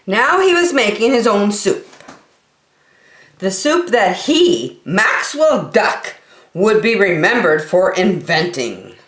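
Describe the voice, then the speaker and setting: A woman reading aloud to children as a story time host, expressive and drawing out her words. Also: medium pitch, slow pace. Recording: roomy, echoey room sound.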